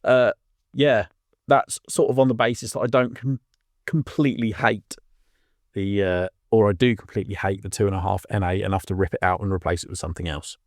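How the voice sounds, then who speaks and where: Low-voiced English man chatting. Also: fairly even intonation, fairly quick, darkish tone, dry recording, clean studio sound. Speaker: podcast host. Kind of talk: casual chat.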